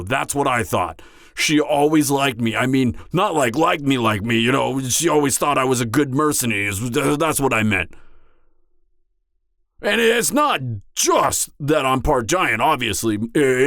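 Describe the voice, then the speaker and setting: A man's voice: medium pitch, animated, normal speed, gravelly, fairly bright tone, no echo, clean studio sound. A man playing an anxious, awkward, slightly drunk part-giant mercenary in an intimate fantasy audio roleplay, hesitant and stammering. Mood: troubled, conflicted. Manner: hesitant, stammering, trailing off, sighing.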